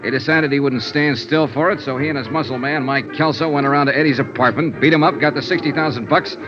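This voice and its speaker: An actor playing a hardboiled insurance investigator in old-time radio drama, dry, wry and clipped in manner. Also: male voice, medium pitch, steady tone, fast, natural voice. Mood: probing, cool. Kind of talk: 1950s radio detective acting, first-person narration. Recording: dull old radio recording, music behind. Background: music.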